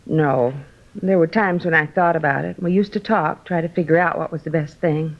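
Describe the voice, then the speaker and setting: Resigned, low-voiced troubled woman. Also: normal speed. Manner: hesitant, confiding. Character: resigned, troubled sister of a sick man. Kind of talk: 1950s radio drama acting. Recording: muffled old radio broadcast.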